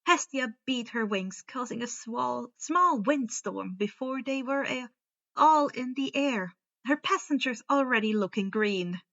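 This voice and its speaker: High, bright-voiced woman reading a story. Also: expressive, unhurried, lo-fi sound. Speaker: amateur story narrator. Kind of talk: expressive reading of fan fiction aloud.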